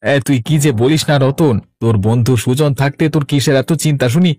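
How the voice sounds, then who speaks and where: A man's voice: mid-range voice, normal speed, darkish tone, no room sound, lightly acted. A man voicing a cocky, scheming young village rascal in a cartoon, with a boastful, slangy way of talking. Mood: confident, scheming. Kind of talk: Bengali cartoon voice acting.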